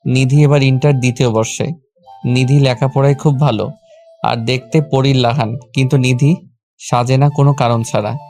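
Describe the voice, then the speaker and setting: Male narrator, Bangladeshi accent, mellow and level, first-person love story over soft music. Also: medium pitch, steady tone, normal speed. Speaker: narrator and lead character of a romantic audio story.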